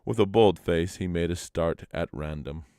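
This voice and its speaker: A man's voice: sits low, normal speed, mellow, smooth, dry recording, studio quality. A man narrating a traditional legend aloud as a storyteller, in a live reading. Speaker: storyteller and reader.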